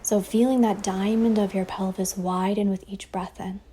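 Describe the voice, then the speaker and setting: A woman leading a guided meditation as a teacher, speaking softly and breathily in a soothing way with long pauses, over ocean waves. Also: mid-range voice, steady tone. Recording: faint ambient wave noise behind voice. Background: ocean waves sound effect.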